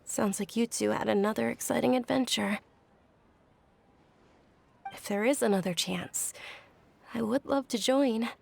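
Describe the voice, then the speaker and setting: A woman playing a gentle, gracious young noblewoman in an anime game, speaking politely and considerately. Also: a little high, measured pace, vocal fry, long pauses, heavily acted. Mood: gentle, wistful. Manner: polite, soft-spoken, considerate. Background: background music.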